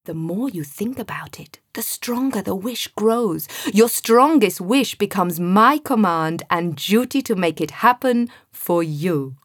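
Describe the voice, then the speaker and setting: A woman voicing a kindly male genie who speaks in a calm, gentle, knowing way. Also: medium pitch, measured pace, few pauses, no echo, clean studio sound.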